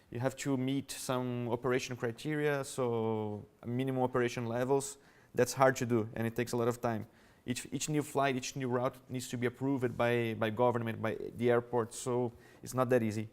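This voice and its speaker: A man with a Brazilian Portuguese accent gives a conference presentation, explaining his points in an easy, conversational way and addressing the audience directly. His voice is smooth and clear and sits at a medium pitch. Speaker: conference speaker. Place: conference hall.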